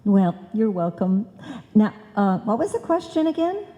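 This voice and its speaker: Woman acting a scatterbrained schemer, slow and mellow, in a hall. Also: medium pitch, big pitch swings. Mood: breezy, scheming. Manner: confident malapropisms, muddled logic. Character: scatterbrained, bossy married woman hatching a money-making scheme. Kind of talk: old-time radio comedy acting, performed live. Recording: live hall recording, long reverb.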